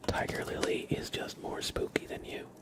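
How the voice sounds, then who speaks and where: A man, hosting an ASMR livestream in a gentle, unhurried way and talking directly to his chat. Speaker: livestream host. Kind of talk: ASMR livestream, whispered and soft-spoken.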